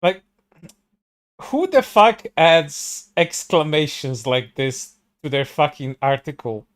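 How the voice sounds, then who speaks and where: A man's voice: on the high side, expressive, slow pace. Stammering, swearing man co-host. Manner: stammering restarts, heavy swearing, sarcastic. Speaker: co-host commenting on stream. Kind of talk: casual commentary.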